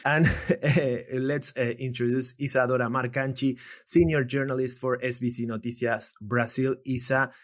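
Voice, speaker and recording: Man hosting, halting and filler-heavy. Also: mid-range voice, little pitch movement. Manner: hesitant, many fillers and restarts. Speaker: podcast host and journalist. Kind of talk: casual podcast interview.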